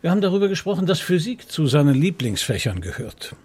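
A man's voice: a little high, sing-song, fast, darkish tone, breathy edge. A middle-aged man acting a shrewd, patient police commissario in a radio drama, probing and dry in manner. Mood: dry, challenging.

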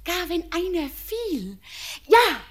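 An actress playing a young village woman who talks softly and earnestly in a classic radio play. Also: extremely high pitch, big pitch swings, takes it slowly, full character voice. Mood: dreamy. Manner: soft, earnest, sometimes whispered.

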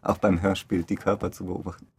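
Low, creaky-voiced man in conversation. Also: level tone, brisk. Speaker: theatre director interviewed about a radio play. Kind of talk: casual reflective interview talk.

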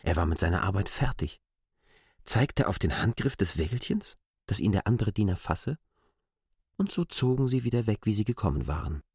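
A man reads literary prose as an expressive storyteller. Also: lowish voice, normal speed, breathy edge, dry recording, studio quality. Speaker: narrator of a literary classic. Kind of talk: scripted literary narration.

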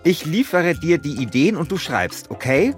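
A man co-hosting a podcast in a casual, animated way. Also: a little high, normal speed, soft background music. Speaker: podcast co-host. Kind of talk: casual animated chat, reading out his own text.

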